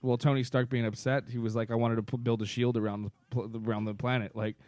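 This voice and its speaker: A man co-hosting a podcast, chatting casually and excitably about a film with friends, swearing freely. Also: medium pitch, fast talker, no echo, clean studio sound. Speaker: podcast co-host.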